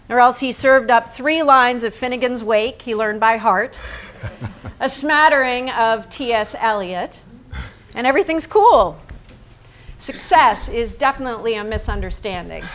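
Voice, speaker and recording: An actress in a staged reading playing the devoted, practical wife of a painter, with a dry, wry delivery. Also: female voice, fairly high pitch, a bit bright. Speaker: stage actor.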